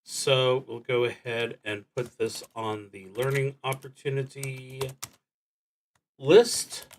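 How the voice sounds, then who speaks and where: A man with a mid-pitched voice talks through a solo roleplaying game. He speaks very slowly and deliberately, pausing often as he reads prompts aloud and thinks through his moves. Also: fairly even intonation, slight background noise. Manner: halting and deliberate, with long pauses. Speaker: solo tabletop roleplaying streamer.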